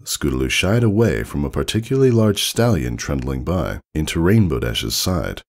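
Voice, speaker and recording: A man reading fiction aloud as an expressive scripted story narration. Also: very deep voice, moderate pace. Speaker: fan fiction reader.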